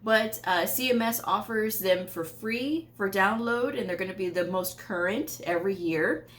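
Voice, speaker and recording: A woman's voice: mid-range voice, normal speed, fairly bright tone. A woman in her thirties, a medical coding educator, giving firm, emphatic career advice straight to camera from a small home office. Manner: firm, emphatic, repeats points with occasional laughs.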